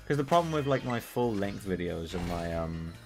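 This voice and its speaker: A British man streaming a game, chatting casually in a rambling, profane way that he calls monotone. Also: low-pitched, moderate pace, soft background music. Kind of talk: casual live-stream chat while gaming.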